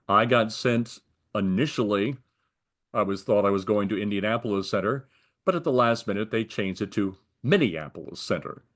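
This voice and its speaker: Older man, American accent, lowish pitch, explanatory, detailed, conversational interview delivery. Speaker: retired air traffic controller being interviewed.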